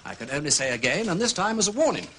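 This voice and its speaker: An actor playing an urbane aristocratic gentleman sleuth with an upper-class English accent, drawling and probing with theatrical understatement in a radio drama. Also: a little high, very animated, fast, slight background noise. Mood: grave warning.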